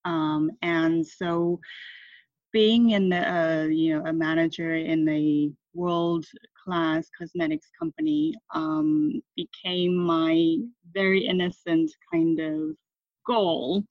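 Woman in her thirties from Taiwan, reflective guest, lowish voice, dry muffled recording. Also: lively intonation, slow, creaky, dry recording. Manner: thoughtful, many fillers and restarts. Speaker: interview guest. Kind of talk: reflective conversational interview. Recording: dull muffled sound, dry.

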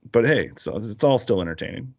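Podcast guest, American man, casual and rambling, very animated intonation. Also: fairly low pitch, brisk, husky, dry recording, highs cut off. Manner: rambling, lots of fillers and restarts, laughs easily.

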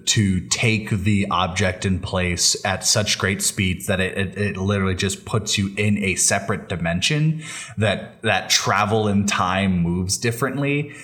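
A man with a fairly low voice co-hosts a podcast. He speaks in a casual, informal way, as in a relaxed conversation between fans. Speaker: podcast co-host. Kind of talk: casual chat.

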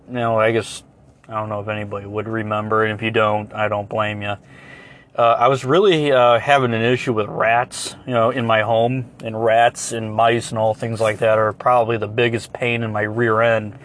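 A hoarse man hosting a solo podcast, chatting in a rambling, folksy, apologetic way as he gives a casual update. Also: medium pitch, fairly bright tone. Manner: folksy, apologetic, mild euphemisms. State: hoarse, clearing throat. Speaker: podcast host.

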